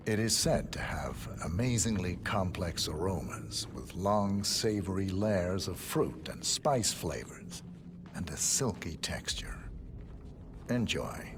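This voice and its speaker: An actor playing a refined sommelier in a video game, presenting in a formal, reverent and deliberate manner. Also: male voice, low voice, background noise. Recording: music underneath.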